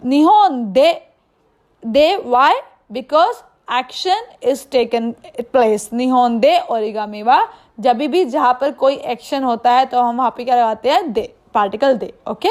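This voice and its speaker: A woman language teacher with an Indian accent and a high voice. She takes her time, explaining phrases piece by piece in a didactic way and putting questions to the learners. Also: animated, slow pace, a little mellow. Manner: didactic, checks understanding with questions. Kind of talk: online language lesson with line-by-line translation and explanation.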